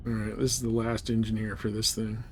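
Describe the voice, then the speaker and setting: A man's voice: lowish voice, monotone, a little fast, slight background noise. A man chatting casually and informally as he livestreams a video game, laid-back in manner. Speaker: live streamer. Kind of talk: casual gaming livestream chat.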